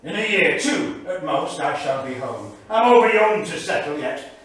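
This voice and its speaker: A man acting a troubled 1930s diarist in a staged reading, speaking with deliberate, theatrical emphasis. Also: on the high side, lively intonation, fairly bright tone. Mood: bold, playful. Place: small theatre studio. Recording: very echoey theatre, distant mic, faint noise.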